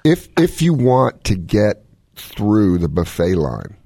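A man with a low, husky voice talks health on a radio show in a folksy, joking way. His pitch moves around a lot as he hands out casual advice. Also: big pitch swings, slow pace, warm tone, dry recording. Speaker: medical expert radio host.

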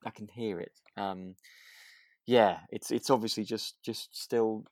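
A man's voice: fairly low pitch, fairly even intonation, a little slow, dry recording. A man co-hosting a casual podcast, speaking hesitantly and repeating words as he thinks. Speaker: podcast co-host. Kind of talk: casual chat about a radio drama.

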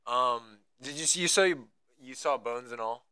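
Young man, naturally loud voice, bright and sharp, clear, casual swearing, filler-heavy. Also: medium pitch, normal speed, no echo. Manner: energetic, loose, swears a lot, filler-heavy. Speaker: podcast host. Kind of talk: casual chat. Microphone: podcast microphone.

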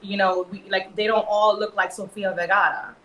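A woman's voice: medium pitch, fairly even intonation, normal speed, bright, forceful tone, distant mic, muffled recording, slight background noise. Woman, New York accent, earnest panel guest, roomy echo. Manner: earnest, filler-heavy, emphatic. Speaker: model and actress guest. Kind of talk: casual panel discussion.

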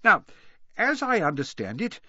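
An old wizard headmaster from a storybook, cheerful and whimsical. He addresses listeners in a genial, theatrical manner, his pitch often lifted and sweeping widely. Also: huge pitch swings, normal speed, pushed and bright, slightly rough, no room sound, muffled recording, exaggerated voice. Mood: cheerful, ceremonious. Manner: theatrical, genial speech-making. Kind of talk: audiobook character voice.

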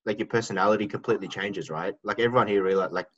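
A rambling man with a lowish voice chats with his mates on a podcast, often restarting his sentences. The recording sounds dull and lo-fi. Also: rapid-fire, slight background noise. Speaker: podcast co-host. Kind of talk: casual podcast banter.

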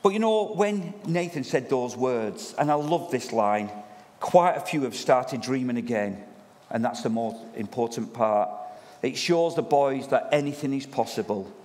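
A man preaching in a church, loud and lively, chatting with the congregation as their pastor. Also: mid-range voice, measured pace. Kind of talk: sermon. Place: church hall. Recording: long reverb of a church hall.

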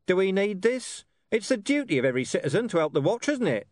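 A stubborn, wry police commander in an audiobook, as a male narrator acts him. He needles and presses with sardonic gruffness, his pitch moving widely in a dry, close recording. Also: sing-song, fast talker, no room sound. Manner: sardonic, pressing, growling at times. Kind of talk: audiobook character dialogue.

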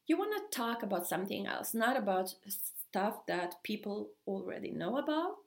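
A young woman speaking English with a Swedish accent, talking through a solo podcast intro in an eager, chatty way. She stops often for "um" and "uh" and restarts her sentences. Her voice sits at a medium pitch and has a noticeable gravelly fry. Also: moderate pace. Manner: chatty and excited, many fillers and restarts. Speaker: podcast host.

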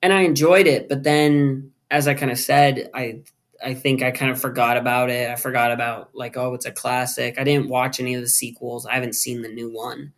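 Man, twenties, podcast host, teasing, casual chat, medium pitch. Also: flat delivery, a little fast, a bit bright. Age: 20s. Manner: teasing, chatty. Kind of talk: casual movie review chat.

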